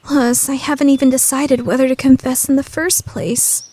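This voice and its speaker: A woman voicing a prim, calculating high school girl in an anime fan radio play, with polite, formal diction. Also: flat delivery, normal speed, warm tone, slightly rough. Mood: hesitant.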